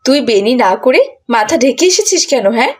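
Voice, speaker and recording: A woman's voice: sits high, sing-song, a little fast. A woman voicing a harsh, scornful cartoon schoolteacher who scolds and bullies with sarcastic questions. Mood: angry scolding. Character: harsh, scornful adult female schoolteacher, bigoted and bullying.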